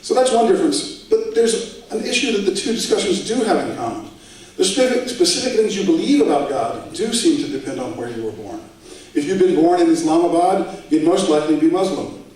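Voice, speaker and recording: A man's voice: a little high, lively intonation, a little fast, a little mellow. Man, public debate lecture, conversational, dry asides, heavy hall echo, far from the mic. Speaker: debate speaker.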